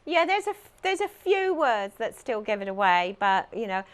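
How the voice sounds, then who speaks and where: A woman's voice: mid-range voice, sing-song, moderate pace, clean tone. A middle-aged woman from England with a southern English accent, chatting as a guest in a TV interview, giving short answers with a dry, self-mocking humor. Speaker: guest, ghost walk volunteer. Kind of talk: casual interview chat. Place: local TV studio.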